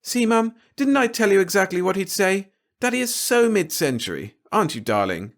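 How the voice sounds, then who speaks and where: A man's voice: high-pitched, very animated, normal speed, pitched above the performer's own voice. A man voicing a spoiled, theatrical young woman of nearly twenty in a storybook reading. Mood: breezy. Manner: breezy, theatrical.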